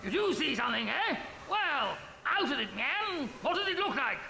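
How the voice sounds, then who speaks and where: An actor playing a pompous, expedition captain in a live radio comedy. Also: male voice, very high-pitched, big pitch swings, normal speed, creaky, full character voice. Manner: pompous, clipped, posh. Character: pompous upper-class male expedition captain. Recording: echoey live hall broadcast.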